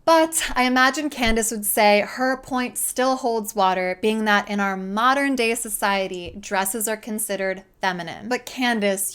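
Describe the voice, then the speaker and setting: A woman's voice: mid-range voice, a bit bright, clear, resonant tone. A woman hosting a commentary show, articulately reading a scripted, argumentative video essay. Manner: articulate, argumentative, addressing an absent opponent directly.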